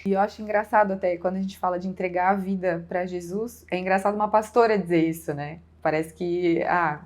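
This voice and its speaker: A woman's voice: on the low side, fairly even intonation, brisk. A woman pastor with a southern Brazilian accent, giving an informal personal testimony as a guest, with frequent conversational check-in tags.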